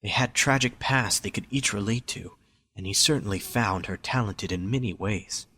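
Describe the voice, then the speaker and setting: A man's voice: on the low side, moderate pace, bright, forceful tone, slightly rough. A man reading scripted story narration as the narrator of an amateur audio drama.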